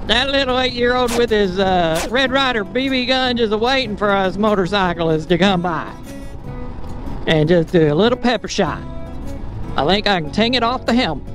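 A man with a fairly high voice talks loosely while he rides a motorcycle, thinking aloud and chuckling at his own jokes. Music plays clearly behind him. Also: animated, moderate pace. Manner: rambling, self-amused, thinking aloud. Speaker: motorcycle vlogger. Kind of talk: casual ride commentary. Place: on a motorcycle on mountain roads.

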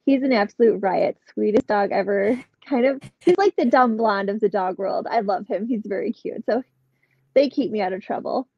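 A woman's voice: medium pitch, normal speed, creaky, breathy edge, no room sound. A middle-aged woman, a podcast guest, chatting in an upbeat way and telling stories over a video call from a room at home. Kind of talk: casual interview chat. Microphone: webcam on a video call. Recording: dull lo-fi video call audio.

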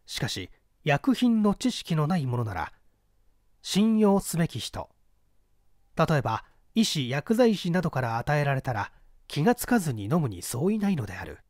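A man narrates a story in a fairly high voice. His intonation moves up and down a great deal, while the phrasing stays measured and deliberate. The sound is dry and close, as in a literary audiobook. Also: sing-song, a little mellow, no room sound. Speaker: audiobook reader, narrator of classic mystery fiction.

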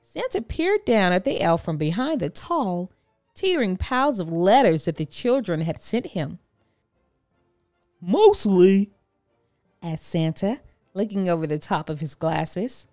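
Female picture-book narrator, animated and measured, mid pitch, soft music behind her. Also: no room sound, studio quality. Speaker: storybook narrator. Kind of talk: expressive children's read-aloud narration.

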